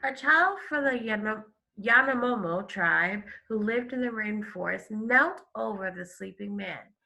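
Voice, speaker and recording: A woman teacher reading a story aloud to children, expressive and stopping to explain it. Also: mid-range voice, unhurried, vocal fry. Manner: expressive, explains to listeners with questions and gasps. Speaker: elementary school teacher.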